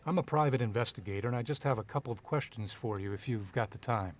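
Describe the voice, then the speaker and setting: An actor playing a cool, curt private investigator who talks and narrates in a businesslike 1940s radio noir style. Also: male voice, mid-range voice, fast talker, close to an everyday voice. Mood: probing, unruffled. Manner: clipped, businesslike, unruffled.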